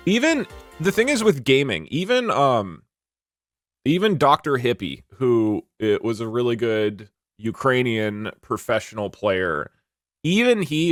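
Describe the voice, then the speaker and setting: A man's voice: medium pitch, dry recording, studio quality. A man streaming from a green screen setup, chatting casually and rambling with lots of restarts while reacting to viewer comments. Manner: rambling, animated, frequent restarts and fillers. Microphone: desk mic at a green screen setup.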